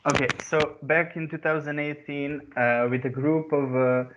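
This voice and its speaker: A man in his twenties who grew up in Serbia, giving an artist talk over a video call, pausing often with fillers and restarts. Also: on the high side, level tone, fairly bright tone. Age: 20s. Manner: hesitant, many pauses and fillers. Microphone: computer mic on a video call. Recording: muffled video call audio.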